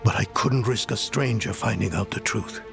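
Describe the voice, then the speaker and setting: An actor playing a weary, solemn older guardian and father in a fantasy game, speaking gravely with a gravelly voice. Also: on the low side. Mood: grave confession. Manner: grave, measured. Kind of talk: dark fantasy game dialogue acting. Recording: music behind the voice. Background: music.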